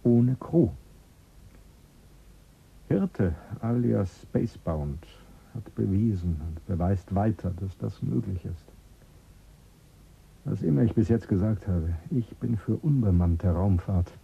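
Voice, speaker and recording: Radio drama: probing official, deep and raspy. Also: male voice, lively intonation, takes it slowly, long pauses, muffled recording, full character voice. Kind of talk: 1970s German radio drama.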